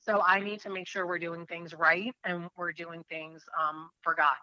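A woman's voice: fairly low pitch, flat delivery, moderate pace, sharp tone, no echo, lo-fi sound. A woman in her thirties from New Hampshire, an attorney telling her faith story in an interview, earnest and rambling with many fillers.

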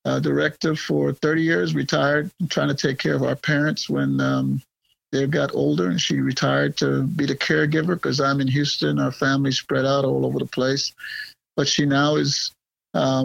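Gravelly man from Guyana. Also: fairly high pitch, dry recording, lo-fi sound. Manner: rambling, frequent fillers and restarts, laughs often. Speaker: guest. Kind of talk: casual interview conversation.

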